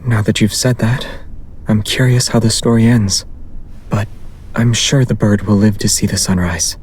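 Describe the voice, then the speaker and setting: An actor playing a calm, soft-spoken young man in a game, speaking in an unhurried, understated, intimate way. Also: on the low side, breathy edge. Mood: calm, thoughtful. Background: music.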